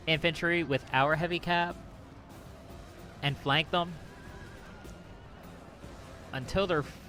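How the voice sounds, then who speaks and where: A man's voice: a little high, bright, forceful tone. A man giving casual let's play commentary on a video game, thinking aloud in a wry, resigned way. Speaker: gaming channel host.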